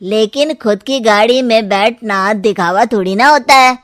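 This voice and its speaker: Woman voicing a slow, high, skeptical in-law. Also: a bit bright, dry recording, studio quality. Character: skeptical, probing parent-in-law of a cartoon family. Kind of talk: cartoon dialogue, Hindi moral story.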